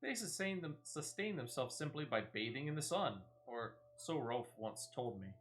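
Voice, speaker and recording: A man's voice: fairly high pitch, expressive, normal speed, fairly bright tone, slight background noise. A wry woodland character who dryly passes on a piece of advice, voiced by a man reading along. The delivery is offhand and knowing in this fantasy game.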